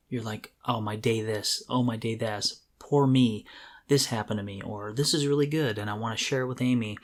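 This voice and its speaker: A man co-hosting a podcast in casual conversation, speaking in a candid, self-deprecating way. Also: medium pitch. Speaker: podcast co-host.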